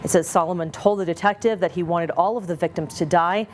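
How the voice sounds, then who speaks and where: An American woman anchors a newscast in a fairly low voice. Her delivery is scripted news narration. Faint noise sits behind her. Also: steady tone, fast talker.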